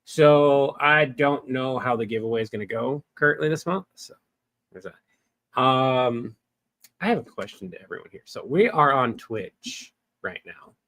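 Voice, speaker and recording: A man's voice: medium pitch, expressive, a little slow, fairly bright tone, no echo. A young man hosting a casual livestream, rambling to his chat with lots of fillers, from a small wood-paneled room on a desk webcam. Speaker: livestream host. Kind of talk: casual live-stream chat.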